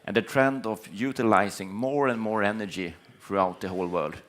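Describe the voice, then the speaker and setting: Lower-voiced man on a panel. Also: moderate pace, a bit bright. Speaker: panelist, energy company chief executive. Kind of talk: panel discussion, unscripted explanation. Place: conference stage panel.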